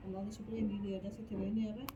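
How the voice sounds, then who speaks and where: A woman's voice: medium pitch, little pitch movement, fast talker, mellow. A woman talking casually in a family conversation. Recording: dull home recording, clear background noise.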